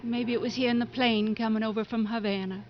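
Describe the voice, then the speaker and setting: On a dull, faintly noisy old radio recording, an actress plays an older country wife. Her voice is somewhat high, fussing and nagging out of care, with folksy turns of phrase in 1950s drama style. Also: steady tone, brisk, natural voice. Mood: wistful.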